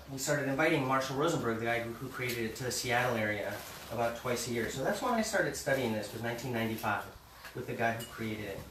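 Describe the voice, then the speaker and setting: This is a calm man in his forties, raised in Mexico City, talking a workshop group through his background at an easy pace. He sounds a little distant, with the room ringing around his voice. A faint hiss sits underneath. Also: medium pitch, roomy sound. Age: 40s. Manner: gentle, unhurried, reads poem slowly. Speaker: communication trainer. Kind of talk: relaxed workshop talk with a poetry reading. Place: meeting room with a live group.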